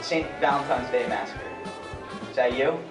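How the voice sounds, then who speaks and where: A man's voice: medium pitch, moderate pace, lightly acted. A young man playing a casual, probing 1920s news reporter in an amateur mock radio interview. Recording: echoey distant mic under music. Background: instrumental music.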